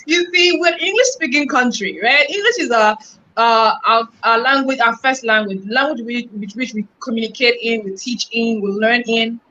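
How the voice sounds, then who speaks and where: A woman hosting an interview, asking questions in an emphatic, animated way. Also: on the high side, normal speed, muffled recording. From Nigeria. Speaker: host. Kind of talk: conversational interview.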